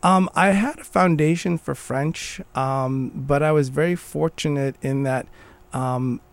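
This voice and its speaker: Gravelly man in earnest conversation. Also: on the high side, measured pace. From Morocco. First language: Arabic. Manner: earnest, restarts phrases while thinking. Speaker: guest. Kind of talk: conversational interview.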